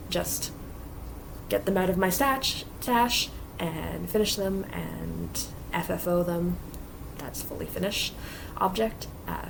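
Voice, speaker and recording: A woman's voice: on the low side, vocal fry, background noise. A woman vlogging about her cross-stitch projects in a casual, rambling way with lots of fillers, sounding a little stuffy from allergies. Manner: chatty, rambling, many fillers. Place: home basement craft area.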